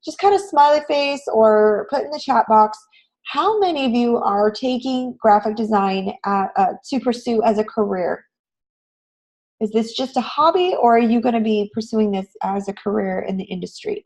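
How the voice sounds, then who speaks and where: A woman with a raspy voice, hoarse from a cold, teaching a live online class in an encouraging, conversational way. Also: on the high side, expressive, normal speed, a little mellow. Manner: encouraging, addresses students with frequent questions. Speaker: online graphic design instructor. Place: online class session, screen shared.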